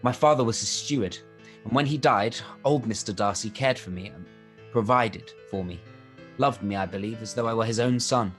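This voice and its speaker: A man playing a charming, wronged young officer in a period radio play, confiding and measured, with music behind. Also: lowish voice, lightly acted. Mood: wounded, earnest. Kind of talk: live-read period radio play acting.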